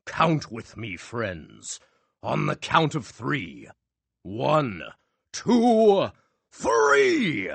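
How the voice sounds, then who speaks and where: A man voicing a showy male stage magician with a grand, drawn-out showman delivery. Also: mid-range voice, wildly swooping pitch, vocal fry, a bit bright, husky, no room sound, voice pushed up, exaggerated voice.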